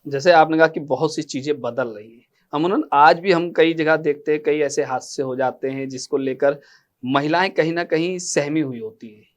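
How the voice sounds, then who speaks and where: Male interviewer, slightly high voice. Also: moderate pace. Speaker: news interviewer, host. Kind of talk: formal news interview presenting.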